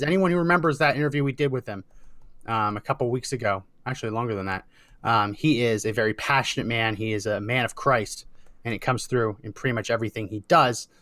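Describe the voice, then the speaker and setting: Male show host, mid-pitched, casual banter, dry sound. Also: a bit bright, dry recording. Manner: laughs often, teasing.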